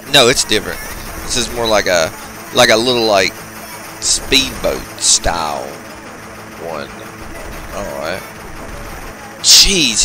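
A man's voice: fairly low pitch, rough recording. A man hosting a video game channel, giving informal, chatty gameplay commentary with some slang. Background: arcade game music.

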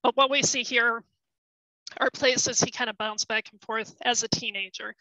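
A middle-aged woman presents historical research in an online talk, explaining carefully with frequent ums and small restarts. Her voice is bright and slightly high, and it is starting to give out. The sound is close and dry, through a headset at home. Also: steady tone, moderate pace, no echo, rough recording. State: losing her voice. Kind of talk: webinar presentation.

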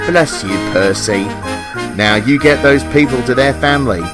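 A man's voice: medium pitch, normal speed, loud music behind the voice, lightly acted. A man voicing a grumbling, self-pitying but wry tank engine in a children's story. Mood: grateful. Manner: wry, complaining.

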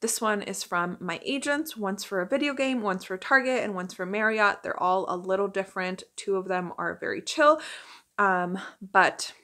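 A woman's voice: mid-range voice, moderate pace, pushed and bright. A young adult woman talking in a chatty, informal way, as in a casual first-person vlog to camera. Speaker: vlogger and working actor.

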